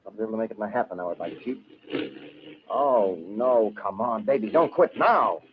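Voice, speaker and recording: An actor playing a brash, wisecracking American adventurer, slangy and clipped, in an old-time radio drama. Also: male voice, lowish voice, animated, moderate pace, close to an everyday voice. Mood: wry, frustrated. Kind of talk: old-time radio adventure acting with first-person narration. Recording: dull, hissy old radio recording.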